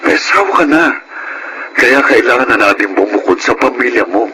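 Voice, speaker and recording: An actor playing an earnest, exasperated Filipino husband of thirty in a radio drama. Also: male voice, mid-range voice, animated, fairly quick, heavy background noise, lightly acted. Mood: exasperated, scolding. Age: 30s. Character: frustrated thirty-year-old husband wanting independence from in-laws.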